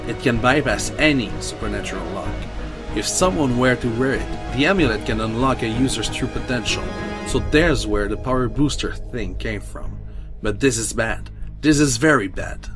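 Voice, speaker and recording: A man playing a serious, uneasy sidekick who knows the supernatural, in an amateur audio drama, speaking in a hushed, grave manner. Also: medium pitch, normal speed, natural voice. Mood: grave, alarmed. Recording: music behind the voice.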